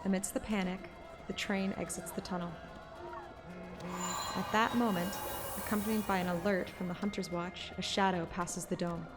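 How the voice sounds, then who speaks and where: A woman narrating a scripted present-tense story in a measured, descriptive way. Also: medium pitch, steady tone. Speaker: audiobook narrator and streamer. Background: music.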